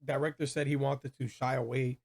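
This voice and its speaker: A man's voice: medium pitch, level tone, a little fast, darkish tone, husky. A man hosting a casual movie review chat, speaking in a slangy, emphatic, opinionated way. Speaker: host of a video game movie review show.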